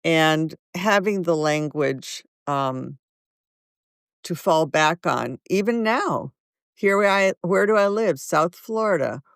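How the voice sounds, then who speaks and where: Slow, gravelly woman in her seventies. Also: lowish voice, animated, no room sound, clean studio sound. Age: 70s. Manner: slow, thoughtful, warm anecdotes. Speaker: guest author. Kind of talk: reflective interview storytelling.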